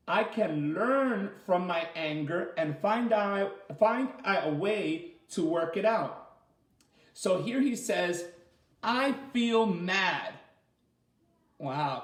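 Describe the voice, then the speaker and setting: A man leading a children's story time as a teacher, reading aloud and asking the listeners simple questions in an animated way. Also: high-pitched. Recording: roomy, echoey sound.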